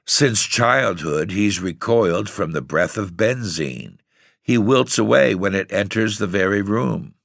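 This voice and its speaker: A man's voice: on the low side, lively intonation, measured pace, gravelly, fairly bright tone, dry recording, studio quality, full character voice. A man voicing a frail, timid young woman who speaks in a fretful quaver. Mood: anxious.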